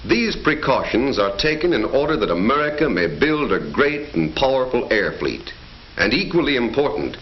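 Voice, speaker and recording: A man narrating a 1940s educational film in an emphatic, declamatory announcer style. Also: medium pitch. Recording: dull 1940s film soundtrack with faint music.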